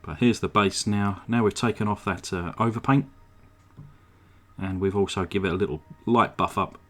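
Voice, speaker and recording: A man with a slightly croaky throat narrating his model car restoration at a home workbench, in a relaxed, chatty way full of "uh" fillers. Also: lowish voice, normal speed. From England. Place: home workshop. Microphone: desk microphone.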